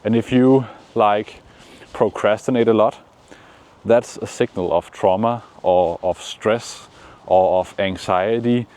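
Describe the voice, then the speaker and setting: A man from Denmark with a Danish accent, giving calm, encouraging motivational talk outdoors in the shade, with frequent pauses. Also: fairly low pitch. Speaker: manifestation coach. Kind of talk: casual motivational vlog talk.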